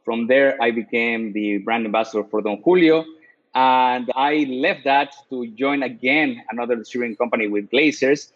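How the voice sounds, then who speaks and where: A man in his forties speaking as a guest in a casual interview, plain and to the point. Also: mid-range voice. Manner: brief, matter-of-fact. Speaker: guest, spirits educator. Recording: dull lo-fi audio.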